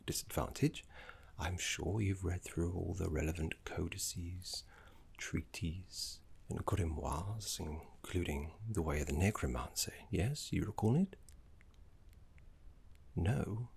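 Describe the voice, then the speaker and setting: Man acting as courteous older necromancer teacher, very low pitch, slow unhurried pace, soft whispered ASMR roleplay, genteel, encouraging. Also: heavily acted. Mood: politely fussy. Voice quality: breathy, creaky low whisper. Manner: soft-spoken, genteel, encouraging, slightly fussy.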